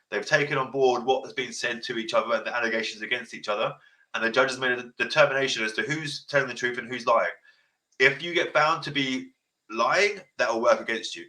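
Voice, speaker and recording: Blunt, fast-talking man with a deep voice. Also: poor audio. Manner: blunt, swears freely, repeats himself; passionate, sounds like shouting. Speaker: podcast host. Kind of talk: casual advice chat.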